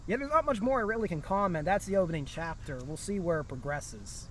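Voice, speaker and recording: A man's voice: high voice, lively intonation, a little fast, a little hiss or hum. A man hosting a podcast in casual chat, recorded outdoors on location. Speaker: podcast host.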